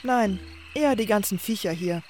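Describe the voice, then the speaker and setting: Man voicing a terse, higher-pitched woman guide. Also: normal speed, a little hiss or hum, natural voice. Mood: matter-of-fact. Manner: terse, plain-spoken. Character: matter-of-fact female companion guiding through a fantasy swamp.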